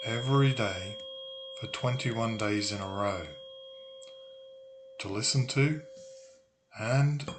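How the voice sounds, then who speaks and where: A man narrating a guided meditation in a soothing, deliberate way with long pauses. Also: fairly low pitch, noisy background. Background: humming tuning forks.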